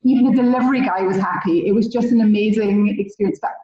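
A woman's voice: medium pitch, quick pace. A woman with a Scottish accent, telling stories with enthusiasm as a podcast guest. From Scotland. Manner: chatty, enthusiastic storytelling. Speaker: guest, relocation concierge. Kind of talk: podcast interview. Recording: roomy, distant, muffled.

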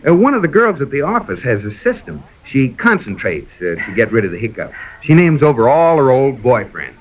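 A man's voice: mid-range voice, big pitch swings, fairly quick. A man playing a teasing, long-suffering husband in a 1940s radio comedy, wry and theatrical. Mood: weary. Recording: noisy 1940s radio recording.

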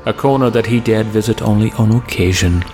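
A male horror host reads scripted narration in a gravelly voice. He is measured, ominous and florid, with faint music underneath. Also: fairly low pitch, darkish tone. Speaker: narrator and host of horror audio drama series.

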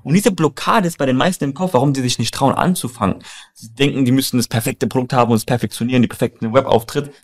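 A man's voice: medium pitch, fast. A young man from Germany talking casually and emphatically, telling personal stories in a colloquial way and addressing the listener directly. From Germany, born in Iran and raised in Mannheim. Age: young adult. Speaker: co-founder and co-host. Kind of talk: casual conversational storytelling.

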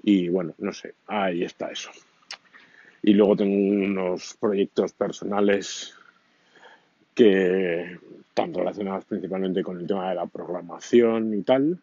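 Deep, slow, mellow-voiced man rambling. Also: fairly even intonation, breathy edge, no echo. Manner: rambling, many fillers and restarts. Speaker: podcast host. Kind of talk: casual spoken reflection. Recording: dull lo-fi sound.

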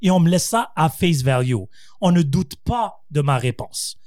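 A man casually debating identity on a podcast, animated and mixing English words into his French. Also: on the high side, moderate pace, dry recording, clean studio sound. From born in the United States, raised in several countries. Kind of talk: casual discussion.

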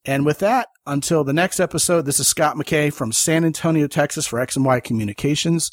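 A man's voice: a little high, fairly quick, no echo, clean studio sound. An American man hosting a podcast, talking in a chatty, enthusiastic way. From United States (Maryland). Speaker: podcast host. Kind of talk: casual conversation and show sign-off.

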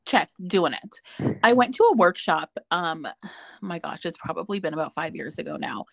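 A woman's voice: lowish voice, expressive, moderate pace, vocal fry, no room sound, rough recording. A woman talking casually with a friend, telling a story in a chatty way with lots of fillers. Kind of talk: casual chat.

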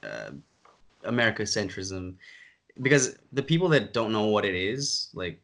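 Low-voiced man hosting a podcast. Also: expressive, normal speed, creaky, fairly bright tone. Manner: rambling, exasperated. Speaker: podcast host. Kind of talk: casual podcast chat.

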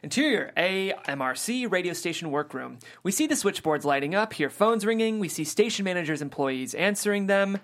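Casting: table read narrator, steady reading, mid pitch. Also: brisk, few pauses. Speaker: narrator reading stage directions. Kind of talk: reading a screenplay aloud.

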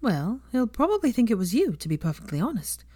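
A woman voicing an earnest, defiant young witch, caring and quick to indignation, in an audiobook-style story reading. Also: fairly high pitch, very animated, warm tone, husky, no room sound, studio quality, voice pushed up. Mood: sly, chatty.

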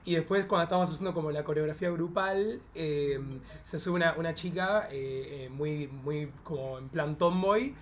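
High-voiced campy Argentine man. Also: normal speed, hardly any pauses, slight background noise. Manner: campy, slangy, rambling. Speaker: podcast guest, drag performer. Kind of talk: casual anecdotal chat.

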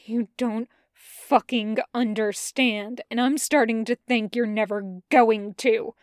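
A woman's voice: fairly even intonation, takes it slowly, no room sound, clean studio sound. A woman reader voicing a haunted young man who talks in a clipped, growling way. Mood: harsh. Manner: clipped, growling, low and tense.

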